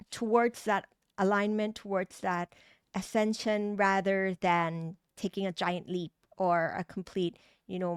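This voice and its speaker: A woman's voice: medium pitch, clear, resonant tone, no echo, clean studio sound. A woman with a Thai accent, a tarot reader giving a meandering spiritual reading with many restarts and fillers. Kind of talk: pick-a-card tarot reading.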